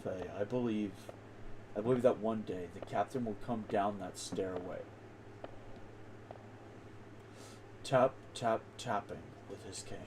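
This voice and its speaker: A man's voice: lowish voice, moderate pace, background noise, pitched below the performer's own voice, close to an everyday voice. A man voicing a reserved, earnest, loyal seaman while reading video game dialogue aloud in character. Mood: wistful.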